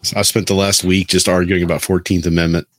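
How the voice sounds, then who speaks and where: A man co-hosting a podcast, bantering casually in a dry, deadpan way. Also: low voice, fast. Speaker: podcast co-host.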